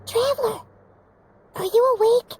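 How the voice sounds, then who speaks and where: A woman voicing a tiny, excitable childlike fairy companion in a video game, with a squeaky, bubbly delivery. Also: a little slow, slight background noise. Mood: eager, slightly nervous. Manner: refers to self in third person, bubbly. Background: background music.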